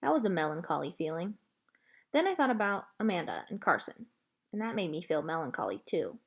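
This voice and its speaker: A woman narrating a children's book aloud in an expressive read-aloud style. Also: lowish voice, a little fast, vocal fry, breathy edge.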